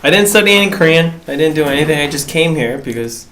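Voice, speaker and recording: A man's voice: a little high, expressive, fairly bright tone, slight background noise. A young man in casual conversation as a guest, often stumbling and restarting his sentences. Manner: halting, stammering restarts. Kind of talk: casual chat.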